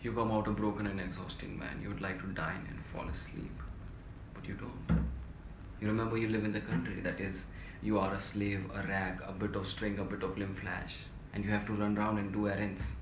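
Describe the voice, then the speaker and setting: An actor playing an exhausted, self-pitying middle-aged civil servant in a stage play, pleading and ranting melodramatically. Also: male voice, fairly low pitch, level tone, a little fast, close to an everyday voice. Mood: exasperated complaining. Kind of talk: theatrical stage-play dialogue. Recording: echoey room, distant mic, loud noise.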